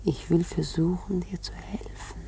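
Slow, domineering seductress. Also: female voice, lowish voice, fairly even intonation. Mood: coaxing, intimate. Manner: slow coaxing whispers, sharp commands. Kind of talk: German radio play acting.

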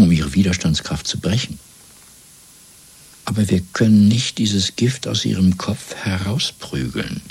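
An actor playing a cold, controlled interrogator in a 1970s German radio drama, speaking in a measured, deliberate, menacing way. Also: male voice, low voice, a little mellow, slightly rough, exaggerated voice. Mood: quiet menace. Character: cold, controlled male Party interrogator and torturer.

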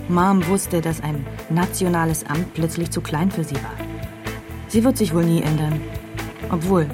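A woman's voice: deep voice, lightly acted. An actress playing a wry young woman who tells her own story in a dry, sardonic radio drama narration. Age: young adult. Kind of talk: scripted first-person radio drama narration. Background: music.

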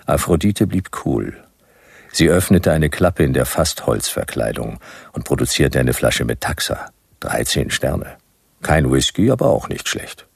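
An actor playing a world-weary private detective, narrating a radio drama in a dry, laconic, sardonic way. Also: male voice, very deep voice, very animated, a little slow, dry recording, heavily acted. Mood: dry, wry. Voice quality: gravelly.

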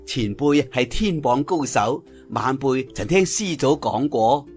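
A man voicing a clever, composed young heroine in a martial arts story, speaking softly and knowingly with a Cantonese accent. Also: medium pitch, animated, slow pace, soft background music. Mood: respectful. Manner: soft, knowing, teasing.

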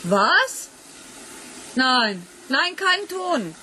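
Caring, playful female partner, sci-fi radio play. Slightly high, animated, coaxing delivery. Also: drawn-out words, a little hiss or hum, heavily acted. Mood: casual. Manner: coaxing, gently teasing. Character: soothing, teasing, affectionate female partner of troubled man. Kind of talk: 1980s science fiction radio play.

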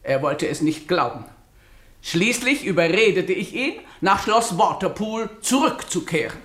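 A woman's voice: on the low side, lively intonation, measured pace, a bit bright. Prim lady witness, radio drama, self-righteous, formal, precise, easily offended, echoey room sound. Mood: formal recounting. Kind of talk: German radio drama acting, witness testimony.

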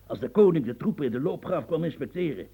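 Gravelly, rambling old marquis. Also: male voice, a little high, expressive, quick pace, mellow, heavily acted. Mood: chatty, confiding. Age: older. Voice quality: gravelly, husky. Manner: stammering, repetitive, musing, earthy, prods with "huh". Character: rambling, grumbling old French marquis, retired military officer. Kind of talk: radio play acting.

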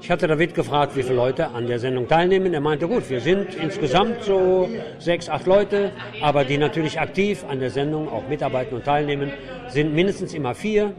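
A man's voice: a little high, fairly quick, a crowd in the background. A man hosting a radio show, casually interviewing and relaying answers as an interpreter. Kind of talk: casual interview with spoken translation.

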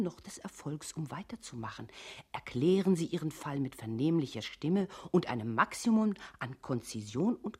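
Radio play narrator: woman, lowish husky voice, emphatic, formal instruction, highly animated intonation. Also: full character voice. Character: insistent female narrator instructing a downtrodden employee. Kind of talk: scripted radio play narration in second person.

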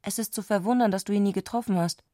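An actress playing an eccentric, excitable woman speaking an intimate letter in intense, abrupt short sentences, in a literary radio play. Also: medium pitch, fast talker, no echo, clean studio sound, natural voice. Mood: restless recounting.

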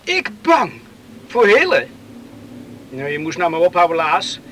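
A man's voice: high-pitched, huge pitch swings, unhurried, a bit bright, slight background noise, full character voice. An actor playing a stubborn, defiant young man in a radio play, blunt and dismissive in manner. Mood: defiant, irritated. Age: young adult.

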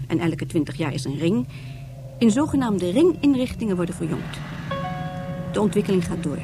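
A woman plays a composed radio drama narrator. Her medium-pitched voice is measured and formal, with prominent music behind it. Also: lightly acted. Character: composed female storyteller setting out science fiction tale.

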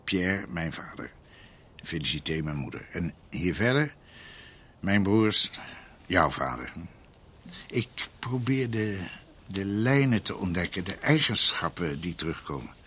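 Radio play: ageing learned doctor, earnest, reflective, low-pitched with lively swings in intonation. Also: male voice, slightly rough, heavily acted. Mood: earnest, absorbed. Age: older. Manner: halting, reflective, searching for words. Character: ageing male doctor, scholarly, earnest, brooding family researcher. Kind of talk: radio drama acting.

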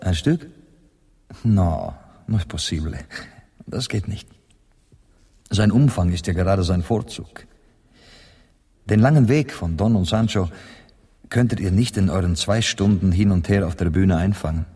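An actor playing a measured, wry Spanish poet and interpreter in a radio drama, calm and deliberate. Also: male voice, low-pitched, husky, full character voice. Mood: wry, gentle. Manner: deliberate, calm, gently ironic. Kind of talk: radio play acting.

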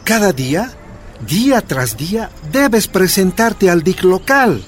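A man's voice: high voice, sing-song, slow, soft background music, exaggerated voice. A man acting in a radio drama as a devoted older father and proud former union leader, earnest and exhorting. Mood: grave.

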